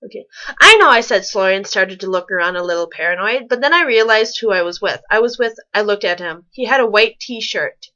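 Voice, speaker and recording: A woman's voice: medium pitch, expressive, brisk, pushed and bright, dull audio. A woman reading fan fiction aloud with sarcastic, incredulous casual commentary, stumbling over the text now and then.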